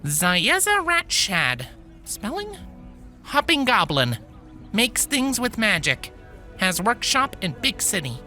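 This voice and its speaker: A man's voice: high voice, wildly swooping pitch, takes it slowly, bright, forceful tone, long reverb tail, soft background music, voice pushed up, heavily acted. A man voicing a small, eager, anxious female bird creature in a perky, earnest way for a fantasy story. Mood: reading, curious.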